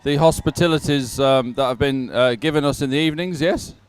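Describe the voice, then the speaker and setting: A man's voice: medium pitch, fairly quick, clean tone. A man hosting live sports coverage, chatting casually and commentating with a lightly joking manner. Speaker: host and commentator.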